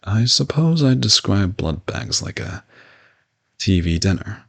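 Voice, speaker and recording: Man playing a deep, unhurried, gentle vampire. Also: very animated, creaky, exaggerated voice. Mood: conversational, friendly. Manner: soft-spoken reassurance, politely formal with teasing asides. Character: gentle, courteous centuries-old male vampire, reassuring and playful. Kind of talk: intimate audio roleplay addressed to the listener.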